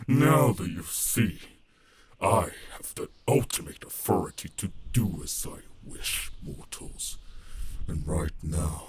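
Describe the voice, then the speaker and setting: A man voicing a wrathful, possessive ancient dragon in an ASMR roleplay drama, making booming threats. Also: creaky, noisy background, heavily acted.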